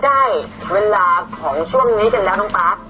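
Young male kids' show host over loud music. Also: sits high, brisk, low-quality recording. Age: 20s. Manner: playful, speaks as an older brother to young viewers. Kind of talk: upbeat children's show presenting with quiz and trivia. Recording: music playing loudly behind the voice.

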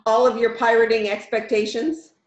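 A woman in her fifties hosting a casual interview over a video call, upbeat and quick to laugh. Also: a little high, little pitch movement. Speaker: host. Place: home room. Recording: roomy, dull video call audio.

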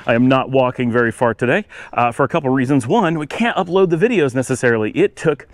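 A man's voice: medium pitch, quick pace, no room sound. A man narrating a casual walking-tour vlog outdoors, rambling and thinking aloud as he goes. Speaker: travel vlogger. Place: outdoors, walking on residential city streets.

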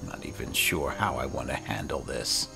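Very deep gravelly man, slow. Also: over music. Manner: measured, solemn, dramatic. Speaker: gaming video host playing in character. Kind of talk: in-character game roleplay narration.

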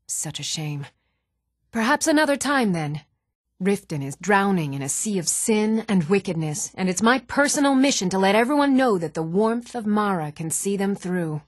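A woman's voice: mid-range voice, expressive, normal speed, dry recording, clean studio sound, close to an everyday voice. A woman playing a devout, fervent priestess in a fantasy video game, earnest and pious. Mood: grave, earnest. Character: devout, fervent priestess preaching a goddess's warmth.

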